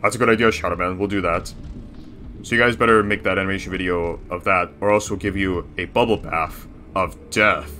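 A man's voice: deep voice, steady tone, a little fast, bright, forceful tone, faint music behind. A man voicing a boastful, bossy cartoon bear boss in rambling, self-satisfied improvised banter. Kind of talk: improvised character reaction banter.

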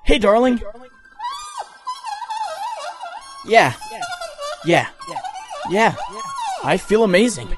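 Audio drama: blissful, wondering man, played by an actor, halting but animated, over music. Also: high-pitched, full character voice. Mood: elated. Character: dreamy, blissful man describing things haltingly. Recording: music behind the voice.

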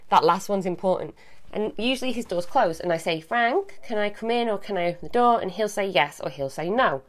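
Loud, animated woman in her thirties. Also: mid-range voice, normal speed. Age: 30s. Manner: animated, loud, jumps between topics. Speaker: advice show host. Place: at home, walking through a child's room.

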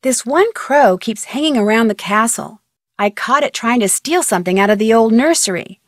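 A young woman with an American accent playing a chatty, curious, level-headed amateur detective in a video game, speaking conversationally with clear diction. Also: fairly high pitch, animated, normal speed, no echo, studio quality, lightly acted. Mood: matter-of-fact, curious. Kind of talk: video game voice acting, conversational phone-call dialogue.

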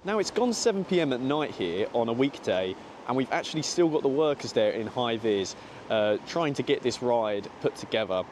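A man's voice: medium pitch, lively intonation, normal speed, darkish tone, slight background noise. A British man in his twenties presenting an update vlog to camera outdoors as the host. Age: 20s. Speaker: theme park vlog host. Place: outdoors by a seaside amusement park. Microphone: small clip-on mic on jacket, filmed at arm's length.

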